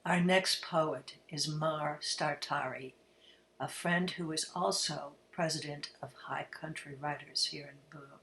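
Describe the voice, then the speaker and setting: A man's voice: fairly high pitch, steady tone, measured pace, sharp tone, slight background noise, low-quality recording. A man hosting a poetry event and introducing the readers. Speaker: host introducing poets.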